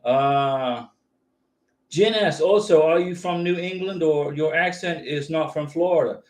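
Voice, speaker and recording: A middle-aged American man with a fairly high voice hosts a live stream and answers viewers. He talks in a brisk, bossy, coaching way and repeats his words as he goes. The room around him rings with echo. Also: darkish tone. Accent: mixed American, no regional accent. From United States, military family. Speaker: host answering viewer questions on visas. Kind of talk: live stream chat reading comments.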